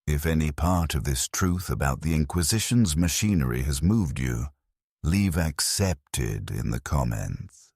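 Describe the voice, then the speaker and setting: A man with a very deep, husky voice narrates a first-person story in a grave, measured way. His pitch moves a lot, giving the telling a lively rise and fall. The sound is dry and close. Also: no echo.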